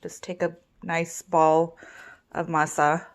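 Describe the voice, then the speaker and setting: A woman with a cold and a raspy voice, giving relaxed step-by-step instructions in a casual cooking tutorial. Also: low-pitched, takes it slowly. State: hoarse with a cold. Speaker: home cook.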